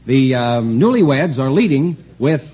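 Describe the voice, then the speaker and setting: A man's voice: medium pitch, very animated, unhurried, husky. A man announcing on a radio quiz show as co-host, presenting scores and guests politely. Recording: dull vintage radio recording with audience. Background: studio audience.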